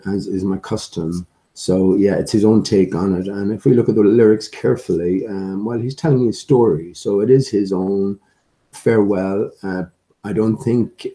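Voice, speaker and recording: A slightly hoarse man talking casually and hesitantly on a livestream, musing as he rambles along. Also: sits low, dark tone, rough recording. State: slightly hoarse, occasional coughing. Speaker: livestream host and amateur musician.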